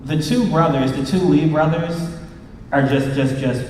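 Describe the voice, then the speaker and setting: A man's voice: a little high, fairly even intonation, normal speed, a little mellow, a little hiss or hum. A man hosting a casual fan panel, talking informally to the room as the host. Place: large convention panel room. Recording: echoey hall, distant from the mic.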